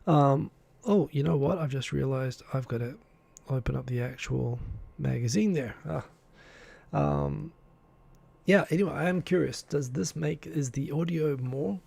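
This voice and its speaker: Gravelly, mellow-voiced Australian man. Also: fairly high pitch, a little slow, pauses often. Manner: chatty, self-deprecating, greets viewers from chat. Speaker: live stream host. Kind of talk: casual live stream chat. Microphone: desk microphone in front of him.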